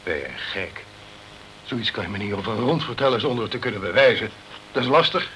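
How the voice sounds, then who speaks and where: An actor playing a sceptical, self-protective British consul in a crime radio play, with a condescending, chummy, blustering way of talking. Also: male voice, fairly low pitch, animated, a little fast, pushed and bright, slight background noise, rough recording. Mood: dismissive.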